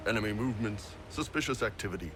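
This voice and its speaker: Man as a deep, gruff mercenary chief. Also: lively intonation, fast, lightly acted. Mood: easygoing, frank. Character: big gruff Qunari mercenary chief and spy, blunt, jovial. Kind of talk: video game character acting. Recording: music under the voice.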